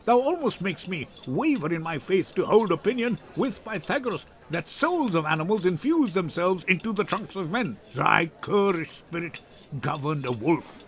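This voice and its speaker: A man's voice: high-pitched, very animated, normal speed. An older man voicing a hot-tempered young man, scornful and declamatory, in a dramatic Shakespeare reading. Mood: scornful outrage.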